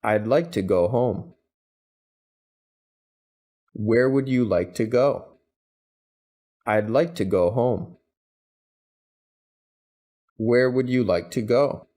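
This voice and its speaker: A man reading English practice questions and answers, each said twice in an even, deliberate way. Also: mid-range voice. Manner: even, deliberate, carefully spaced delivery. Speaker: English teacher. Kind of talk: slow scripted phrase drills, each said twice.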